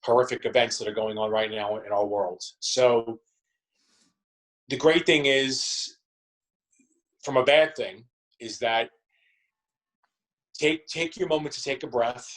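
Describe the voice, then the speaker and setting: A man's voice: medium pitch, fairly even intonation, slow, long pauses, a bit bright, rough recording. A man answering conversationally as an interview guest, feeling his way through phrases and circling back over them. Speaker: actor and theatre company member, interview guest.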